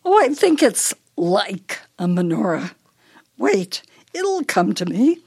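An actress playing a forgetful elderly wife in a radio play, teasing and fretful with a deliberate, wistful manner. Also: female voice, mid-range voice, big pitch swings, darkish tone, husky, exaggerated voice. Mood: playful, muddled.

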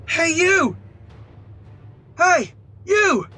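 A man voicing an angry, frightened man who shouts challenges at a menacing stranger in a horror story. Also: extremely high pitch, big pitch swings, very slow, soft background music, voice pushed up, exaggerated voice. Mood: angry shouting.

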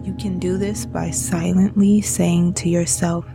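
A woman guiding a meditation as a narrator, speaking in a soothing, gentle and breathy manner. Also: on the low side, level tone, unhurried, music in the background. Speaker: meditation guide.

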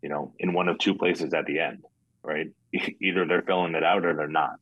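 Deep monotone guy explaining his sales process, dry close sound, kinda lo-fi. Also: normal speed, husky, dry recording. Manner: monotone, says so himself; plainspoken, explains step by step with frequent "right?". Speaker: life insurance agent, podcast guest. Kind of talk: casual interview talk.

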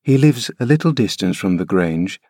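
A man's voice: fairly low pitch, lively intonation, normal speed, warm tone, dry recording, clean studio sound. A man reading aloud as a kindly, practical housekeeper who coaxes and reassures a child. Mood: coaxing. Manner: coaxing, reassuring.

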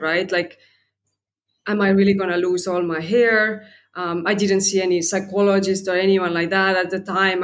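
Guest, woman from Estonia, English, chatty and reflective. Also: medium pitch. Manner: conversational, many fillers and restarts, rising "right?" tags. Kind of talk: personal storytelling interview.

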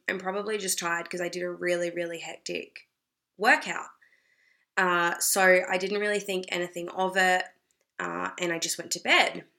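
A young adult woman with an Australian accent, slightly hoarse from a cold, chatting loosely to camera, full of "like" and "you know". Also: lowish voice, pushed and bright. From South Australia. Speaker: vlogger. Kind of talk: casual talk to camera.

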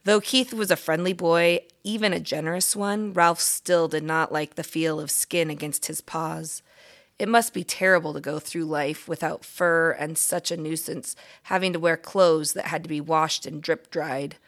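A woman reading a children's book aloud as a guest story reader, with expressive delivery. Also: sits low, moderate pace.

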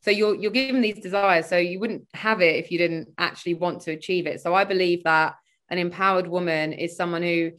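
A woman's voice: fairly low pitch, steady tone, a little fast, a bit bright. A woman, a spiritual coach chatting as a podcast guest over a video call, rambling and full of fillers. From born in Sweden, grew up in London. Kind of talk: casual conversational interview.

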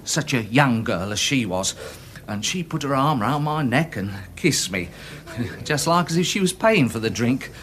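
Timid, fluttery little English witness. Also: male voice, mid-range voice, expressive, a little slow, fairly bright tone, a little hiss or hum. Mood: sheepish, confessional. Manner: stammering, hesitant, prim, earnest. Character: timid, respectable, embarrassed little man giving evidence. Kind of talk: radio drama acting.

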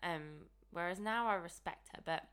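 A woman, hosting a casual solo podcast with a croaky early-morning voice. She talks candidly and chattily, with lots of "like" and "okay". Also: on the low side, moderate pace, fairly bright tone. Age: 20s. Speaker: podcast host and coach.

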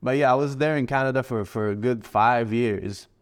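A man in his thirties from Morocco, a guest trader answering in a relaxed, rambling way. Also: mid-range voice, normal speed. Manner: relaxed, rambling, frequent fillers and restarts. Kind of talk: casual interview answers.

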